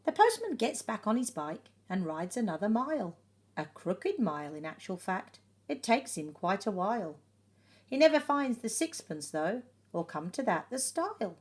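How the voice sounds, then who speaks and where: A woman reading a children's picture book aloud in an expressive way, with dramatic pauses and emphasis. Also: mid-range voice. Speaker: storybook reader.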